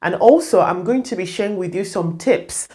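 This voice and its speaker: A woman's voice: mid-range voice, moderate pace, warm tone. A woman giving a casual vlog update, chatting and explaining things directly to her viewers. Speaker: hair vlogger.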